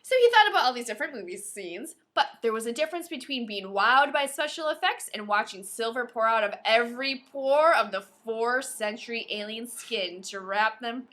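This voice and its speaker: A woman's voice: fairly high pitch, expressive, moderate pace, few pauses, fairly bright tone. A woman co-hosting a podcast, playful, mixing casual banter with reading a novel aloud and correcting herself as she goes. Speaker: podcast co-host.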